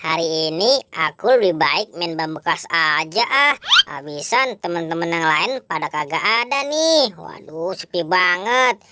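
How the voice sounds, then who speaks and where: A man's voice: on the high side, expressive, a little slow, a little hiss or hum. A man voicing a cheerful, flustered young hero uncle in children's toy-play storytelling, chatty and full of exclamations. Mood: playful. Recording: loud music over the voice.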